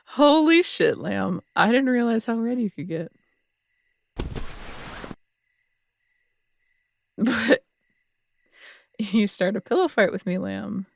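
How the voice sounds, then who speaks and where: An actress as a proud, teasing woman detective in a roleplay audio drama. Her voice is creaky and recorded dry and close, with casual, intimate delivery. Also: on the high side, big pitch swings, slow, long pauses, no echo, exaggerated voice. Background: crickets at night.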